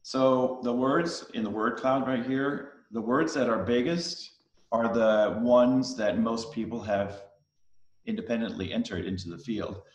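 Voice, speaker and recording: Middle-aged Danish man, mid-range pitch, casual halting delivery, roomy video-call sound. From Denmark. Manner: casual, halting, many fillers and restarts. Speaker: guest speaker.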